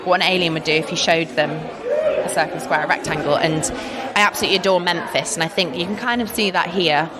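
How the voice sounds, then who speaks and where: A woman's voice: fairly low pitch, a little fast. A woman artist with an English accent talking about her work in a chatty, enthusiastic way, laughing often. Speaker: artist interviewed. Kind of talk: casual interview chat. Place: busy art fair. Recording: crowd chatter behind.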